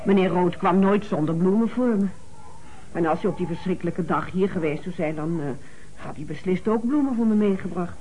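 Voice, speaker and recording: An actress playing a talkative, defensive housekeeper in a Dutch radio play, faltering yet emphatic. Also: female voice, on the low side, brisk, dark tone, slight background noise, natural voice, poor audio. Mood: wistful, insistent.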